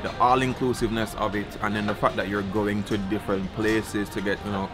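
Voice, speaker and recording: A young man with a lowish voice, chatting in a relaxed way as he reviews a buffet. He films himself on a camera held at arm's length in a ship's dining room. Loud music plays behind him. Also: fairly quick. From Jamaica. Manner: relaxed, chatty, food-tasting commentary. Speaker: vlogger. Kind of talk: casual travel vlog. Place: cruise ship buffet dining room, indoors.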